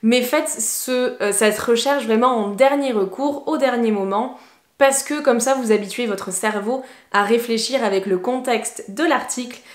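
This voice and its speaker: A woman with a fairly high voice shares study tips in a relaxed, encouraging way, often pausing on little fillers. She sounds like a teacher talking to her viewers. The room around her is echoey. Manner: chatty, encouraging, many fillers. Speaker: English teacher. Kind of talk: casual tips to camera.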